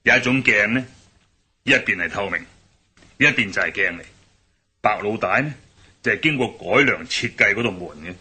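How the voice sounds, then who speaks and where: An actor playing a loyal, brisk middle-aged gang elder in a radio drama, speaking with a Cantonese accent and a husky voice. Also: male voice, on the low side, sharp tone, heavily acted. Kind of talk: 1960s-style radio drama acting.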